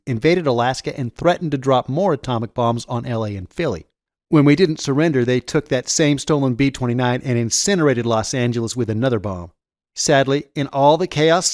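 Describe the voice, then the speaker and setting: A man's voice: medium pitch, darkish tone, no echo, clean studio sound, lightly acted. A man voicing a bitter, rebellious teenage boy with a sardonic, emphatic manner.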